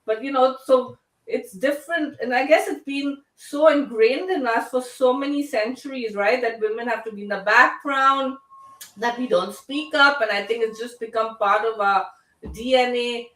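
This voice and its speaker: A woman with a fairly high voice hosts a casual live discussion. She talks conversationally and haltingly, doubling back on her phrases. The room is very echoey and she sounds a little away from the microphone. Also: fairly bright tone, far from the mic. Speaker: host. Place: indoor room on live online video call.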